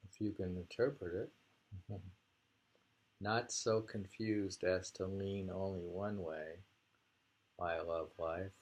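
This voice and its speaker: A man casually reading poetry and talking it over in a halting, musing way. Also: low-pitched, steady tone, a little mellow, poor audio. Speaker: reader and host of poetry readings.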